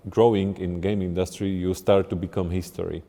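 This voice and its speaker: A middle-aged man from Slovakia, a game design lead answering interview questions in halting English, often restarting mid-sentence. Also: sits low, flat delivery, dark tone, big-room sound, faint music behind.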